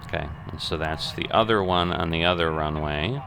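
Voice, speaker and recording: Gravelly, low-voiced man thinking aloud. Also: bright, forceful tone, a little hiss or hum. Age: middle-aged. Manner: thinking aloud, methodical, halting. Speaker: gaming video host. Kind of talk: unscripted simulation game commentary.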